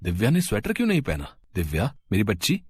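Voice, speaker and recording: A man's voice: fairly low pitch, wildly swooping pitch, fast, a little mellow, breathy edge, exaggerated voice. A man voicing a loving, remorseful village father in a story, earnest and emotional. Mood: affectionate.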